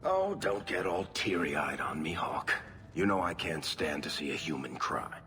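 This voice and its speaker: A man's voice: low-pitched, very animated, measured pace, music in the background. A man playing a wry, roguish dwarf companion in a video game, with a jaunty, teasing delivery. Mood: teasing, friendly.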